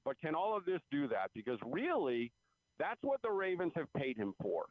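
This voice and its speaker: A man's voice: a little high, huge pitch swings, moderate pace, no echo. A man giving conversational sports analysis as a guest reporter, repeating phrases for emphasis, over a phone line. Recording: phone-like radio call-in line.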